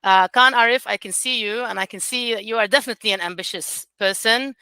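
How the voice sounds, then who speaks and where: A woman's voice: on the high side, quick pace, pushed and bright, smooth, no echo. A woman in her forties, not a native English speaker, gives a chatty, encouraging webinar talk as an academic coordinator. She speaks over a video call and uses many fillers. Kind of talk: informal webinar presentation.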